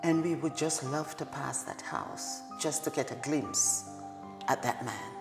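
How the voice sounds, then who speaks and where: A woman's voice: low-pitched, a little slow. A woman with a Jamaican accent, a care worker who gives plain, direct answers in an interview. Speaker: direct support professional. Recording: echoey hall with music behind.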